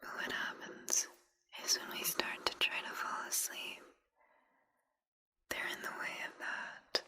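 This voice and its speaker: A woman guiding a sleep hypnosis in a slow, soothing ASMR whisper close to the microphone. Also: bright, forceful tone.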